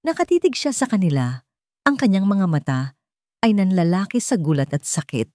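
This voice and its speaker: A woman storyteller reading scripted dramatic fiction in a solemn, suspenseful way with deliberate phrasing. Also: mid-range voice, big pitch swings, warm tone, dry recording, clean studio sound.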